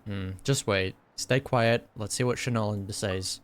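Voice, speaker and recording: A man's voice: lowish voice, a little slow, a little hiss or hum. A man giving casual live reaction commentary on a game, muttering asides and thinking aloud. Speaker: gaming reaction streamer.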